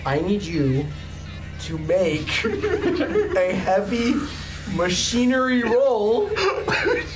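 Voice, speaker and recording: A man running a tabletop roleplaying game, narrating scenes casually with fillers and bursts of laughing excitement. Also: high voice, very slow, poor audio. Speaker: game master. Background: music.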